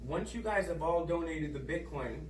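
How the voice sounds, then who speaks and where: A man's voice: a little high, expressive, moderate pace. A man co-hosting a casual chat, making wry joking asides between serious points. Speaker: podcast co-host. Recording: roomy echo, distant mic, faint noise.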